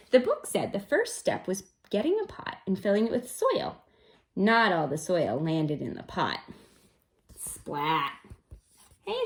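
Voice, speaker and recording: A woman reading a story aloud for children in an expressive, coaxing way, pausing for chatty teacherly asides. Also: a little high, gravelly. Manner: animated, coaxing, pausing to comment and wonder.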